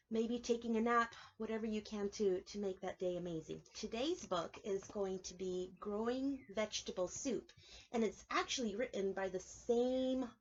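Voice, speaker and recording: A woman preschool teacher reading aloud and explaining things to young children, clearly emphasizing key words in an instructive way. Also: a little high, muffled recording. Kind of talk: children's read-aloud lesson with explanations and questions.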